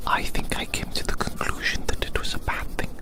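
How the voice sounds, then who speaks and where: An ASMR performer speaking in a breathy, unvoiced whisper, softly musing to the listener and gently repeating phrases in a roleplay. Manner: hushed, slow repetition of phrases, gently musing. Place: outdoors.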